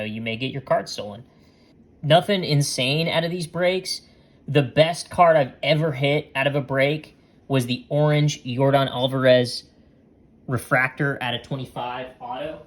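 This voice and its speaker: A man casually reviewing his experiences for a hobby video, chatty and loose, with lots of ums. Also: mid-range voice. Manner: relaxed, chatty, many ums and restarts. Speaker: trading card hobby channel host.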